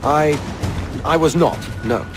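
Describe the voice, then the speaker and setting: A man's voice: a little high, takes it slowly, over music. An actor playing a roguish impostor pirate in a video game, offhand and guarded as he bluffs. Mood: guarded, bluffing. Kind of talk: dramatic video game acting.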